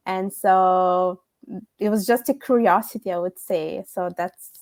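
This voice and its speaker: A young adult woman from Italy, a guest on a remote call, answering with measured phrasing, frequent fillers and small restarts. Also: mid-range voice, a little mellow, no echo. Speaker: guest, author.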